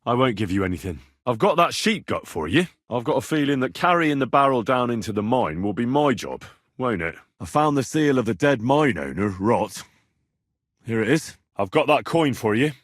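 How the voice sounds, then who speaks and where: A young British man , played by an actor, as a medieval servant turned adventurer in a video game. His voice is husky and middle-pitched, with lively, swinging intonation. He speaks earnestly and plainly, in a dry, close recording. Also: normal speed, no room sound, natural voice. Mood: matter-of-fact. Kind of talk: scripted game character dialogue.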